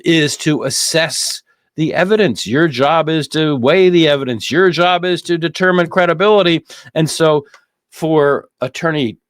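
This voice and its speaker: A man's voice: fairly high pitch, a bit bright, no room sound, clean studio sound. A man hosting conversational legal commentary on a criminal trial, repeating phrases for emphasis. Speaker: host of a legal commentary show.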